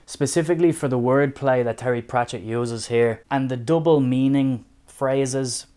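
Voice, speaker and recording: A young adult man with a Dublin accent, talking through a book review in a measured, thoughtful way. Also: medium pitch, warm tone, clean tone. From Ireland. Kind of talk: casual spoken review.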